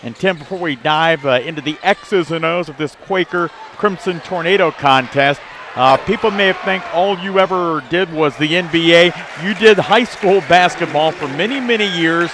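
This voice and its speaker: A man's voice: fairly high pitch, moderate pace. An American man hosting a pre-game sports radio broadcast, moving between questions and sponsor reads. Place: basketball gym. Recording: faint gym crowd and noise behind.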